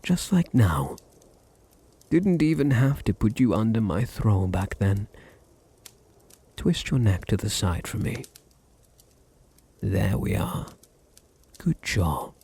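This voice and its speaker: A British man acting a seductive, domineering vampire master in an ASMR roleplay, breathy and often near a whisper, with purring, condescending endearments. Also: on the low side, animated, slow, long pauses, dark tone. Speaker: audio roleplay voice actor.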